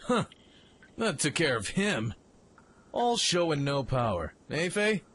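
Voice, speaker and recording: A man acting a brash, excitable young mech pilot in a fan radio play. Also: a little high, slow, heavily acted. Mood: cocky. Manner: brash, cocky, exclamatory. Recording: clearly noisy background ambience.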